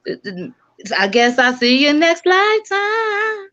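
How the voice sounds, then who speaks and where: Stream guest: a woman in her thirties, blunt and slangy, with a bright edge and dull lo-fi sound. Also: very high voice, very animated, a little slow. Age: 30s. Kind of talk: casual live-stream chat. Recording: muffled lo-fi stream audio.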